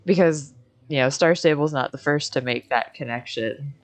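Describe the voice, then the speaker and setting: A woman's voice: low-pitched, normal speed. An American woman chatting casually as she hosts a game livestream, with a giggly, slangy, informal manner. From Michigan, United States. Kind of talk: casual livestream chat while gaming.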